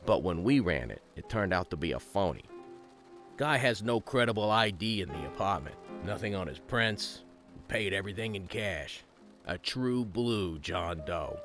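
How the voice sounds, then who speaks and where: An actor playing a gruff, cynical, talkative police detective in an audio drama, sardonic and full of streetwise slang. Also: male voice, sits low, fairly bright tone, heavily acted. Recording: music behind the voice.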